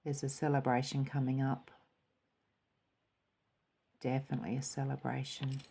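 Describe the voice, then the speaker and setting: A woman giving a spiritual tarot and cup reading in a soft, musing way, sometimes dropping into a quiet, calm voice. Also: extremely low pitch, normal speed, creaky, slight background noise.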